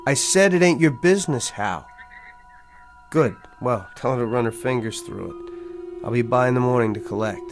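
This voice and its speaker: Actor as gravelly, scheming working-class wheeler-dealer. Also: male voice, mid-range voice, normal speed, music in the background, natural voice. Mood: curt. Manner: colloquial, coaxing, sly. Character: scheming working-class garage attendant, smooth-talking deal-maker. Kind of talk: television drama acting.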